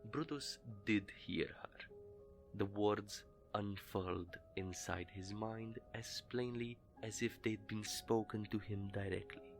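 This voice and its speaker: A man tells a scripted horror tale in a low, gravelly voice, taking his time over every line. His delivery stays measured and ominous, with faint music running underneath. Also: steady tone. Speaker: storyteller. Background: faint music bed.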